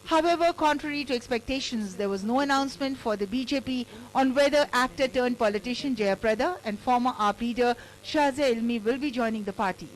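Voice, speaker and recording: A woman's voice: a little high, a little fast, hardly any pauses, low-quality recording. A woman with an Indian accent reading a scripted television news report as a reporter.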